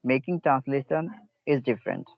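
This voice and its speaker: A man coaches a learner over an online call. He speaks in short advisory phrases full of "you know" fillers, at a mid pitch. The audio is dull, lo-fi and dry. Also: lively intonation, moderate pace, vocal fry, no room sound. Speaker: English speaking coach and host. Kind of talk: conversation practice call.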